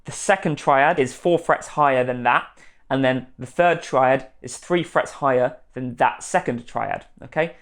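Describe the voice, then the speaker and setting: A man presenting an instructional guitar tutorial, spelling out each step clearly as the teacher and host. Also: mid-range voice, measured pace, fairly bright tone. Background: guitar playing.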